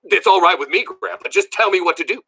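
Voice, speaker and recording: A man's voice: high-pitched, wildly swooping pitch, normal speed, a bit bright, husky, no room sound, pitched above the performer's own voice, heavily acted. A man voicing an earnest, eager country boy in a storybook read-aloud, blurting and questioning. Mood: amazed, eager.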